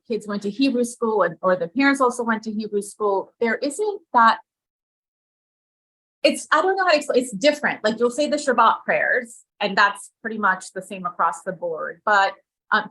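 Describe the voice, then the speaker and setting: A woman's voice: medium pitch, lively intonation, moderate pace, pushed and bright, rough recording. A woman from Israel who moved to America young, speaking casually as an interview guest, informal and often thinking aloud. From born in Israel, moved to America as a child. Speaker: guest, community leader and activist.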